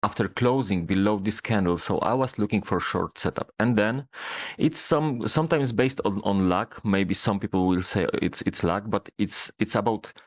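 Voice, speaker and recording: A man speaking English as a second language, explaining his trading in a halting way with many restarts and repeated words. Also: fairly low pitch, no room sound. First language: not English. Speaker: trader guest. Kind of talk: casual call explaining charts.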